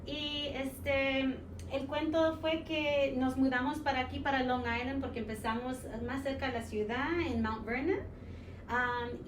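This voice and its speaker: Woman in her forties, distant and roomy. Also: sits high. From United States, New York. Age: 40s. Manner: halting, many fillers, slips English words into Spanish. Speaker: social studies teacher, interview guest. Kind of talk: casual interview, personal story. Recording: roomy sound from a distant mic with noise.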